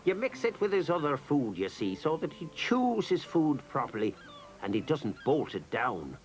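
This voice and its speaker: A man's voice: a little high, wildly swooping pitch, moderate pace, faint music behind, rough recording. Mister Fingal, a lilting old Irishman. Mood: reassuring. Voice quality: lilting, playful, spins tall tales. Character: kindly teasing old Irishman, a donkey keeper. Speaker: storyteller character. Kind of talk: children's drama acting.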